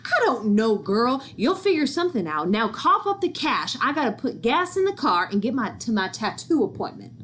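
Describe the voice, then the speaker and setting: A woman's voice: a little high, very animated, normal speed, few pauses, fairly bright tone, pitched above the performer's own voice. Lady doing a bossy shameless storybook mom, impatient folksy scolding, some background noise. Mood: dismissive, impatient. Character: brash, shameless, demanding Southern mama.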